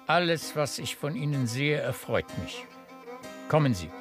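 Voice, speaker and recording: An actor playing an old, effusively grateful baron in a classical radio drama, with a husky, emotional, courtly delivery. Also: male voice, a little high, very animated, a little slow, faint music behind. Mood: delighted.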